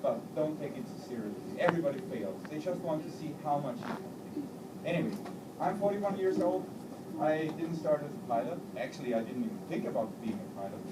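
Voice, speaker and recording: A man's voice: a little high, quick pace. A man, an airline pilot giving a playful talk to children and quizzing them. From Austria. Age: 40s. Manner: lively, teasing, quizzes the audience. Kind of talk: playful talk to a young audience. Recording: roomy, distant mic, loud crowd and noise.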